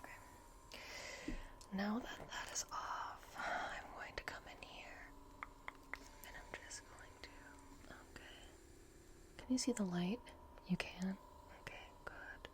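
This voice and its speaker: Whispering woman in a soft ASMR roleplay. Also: medium pitch. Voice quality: breathy whisper. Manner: gentle, reassuring, unhurried. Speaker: ASMR roleplay performer playing a repair technician.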